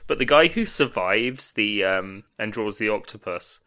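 Bright, lively man joking casually. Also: fairly low pitch, unhurried. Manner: jokey, giggly. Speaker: podcast panelist. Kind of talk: casual comedic film discussion.

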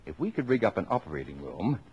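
A man's voice: low-pitched, big pitch swings, fast talker, a little mellow. An actor playing a stubborn, jovial middle-aged American father in a 1950s radio drama, brash and bossy in manner. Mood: brisk, stubborn. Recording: noisy vintage radio broadcast recording.

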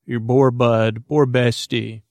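A man hosting a sleep podcast, rambling in a halting, meandering, goofy way with many tangents and false starts. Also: mid-range voice, level tone, darkish tone, dry recording, clean studio sound. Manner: goofy, whimsical. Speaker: podcast host.

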